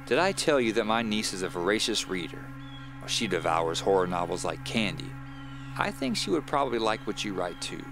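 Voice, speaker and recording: A man's voice: lowish voice, big pitch swings, normal speed, over music. A man voicing a kindly, grandmotherly widow with a folksy, teasing, chatty manner in an audio drama.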